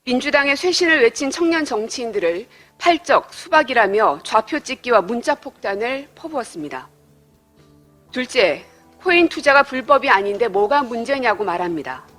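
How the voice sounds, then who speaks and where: Woman politician, fairly high voice, formal prepared speech, measured delivery, light music behind. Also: lively intonation, fairly bright tone.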